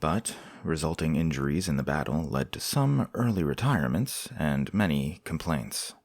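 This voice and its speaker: An actor playing a courteous visiting prince in an audio drama, measured and formal in manner. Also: male voice, very deep voice, animated, a little mellow, dry recording, exaggerated voice. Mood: grave, measured. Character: courteous, composed visiting foreign prince, a candid friend.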